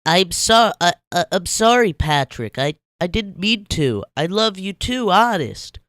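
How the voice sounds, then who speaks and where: Cartoon sponge: high and clear, nervy, lovestruck, whimpering, apologetic stammer. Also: male voice, big pitch swings, unhurried, a bit bright, no room sound, clean studio sound, heavily acted. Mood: guilty, pleading. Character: eager, anxious, lovestruck young cartoon sponge. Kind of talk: dramatic fan fiction reading.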